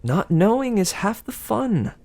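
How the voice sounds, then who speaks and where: A man's voice: high-pitched, big pitch swings, a little slow, a little mellow, voice pushed up, exaggerated voice. A man voicing a vain, doting goddess of love who sighs and talks breathily. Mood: swooning. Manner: breathy gushing, sighing.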